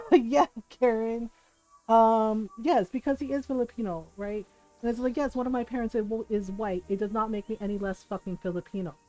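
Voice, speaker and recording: A woman chatting casually on a live game stream in a candid, sweary, animated storytelling way, who grew up speaking Tagalog, English and Spanish. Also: a little high, moderate pace, soft background music, poor audio.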